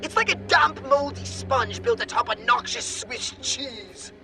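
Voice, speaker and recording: High, prim, dry alien advisor. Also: female voice, unhurried, over music. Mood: dry, disdainful. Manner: wordy, wry, formal. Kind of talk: video game cutscene voice acting.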